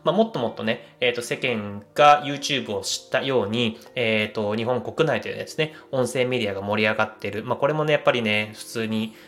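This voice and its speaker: A man hosting a solo audio show, explaining things casually in halting, hedging phrases. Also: fairly low pitch, fairly bright tone. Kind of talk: casual solo podcast talk.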